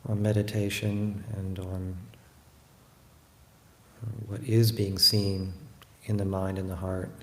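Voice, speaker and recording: A man giving a guided meditation talk as a Buddhist teacher, unhurried and hesitant, often pausing to reflect. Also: deep voice, completely flat delivery. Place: room in a monastery. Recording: roomy echo, faint background noise.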